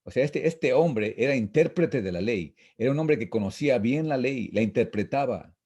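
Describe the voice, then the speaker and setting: A man teaching an informal Bible lesson, reading and explaining verses in a repetitive, halting, didactic way. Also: medium pitch, lively intonation, warm tone, breathy edge. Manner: didactic, repetitive, halting with frequent false starts.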